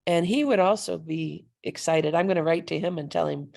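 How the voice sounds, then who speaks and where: A woman's voice: on the low side, moderate pace, vocal fry, darkish tone. A woman chatting enthusiastically on a video call, effusive and welcoming. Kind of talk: casual video call chat.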